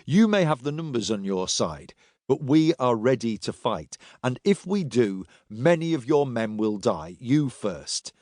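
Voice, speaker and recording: A man voicing a weary, steely male Roman agent in an audiobook, speaking in a measured, dry, firm way. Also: mid-range voice, sing-song, darkish tone, no echo. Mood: firm, reasoning.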